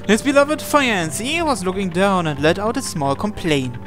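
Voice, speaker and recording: A man's voice: high voice, animated, moderate pace, over music. A man reading visual novel narration aloud, sometimes stumbling over and repeating words as he goes. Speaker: video game player reading aloud.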